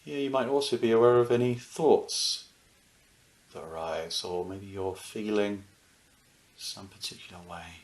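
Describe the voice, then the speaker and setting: A man with a lowish, creaky voice gently guides a meditation. He talks in short, soothing phrases and leaves unhurried pauses between them. The sound is roomy, with a clear echo around his words. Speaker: meditation teacher.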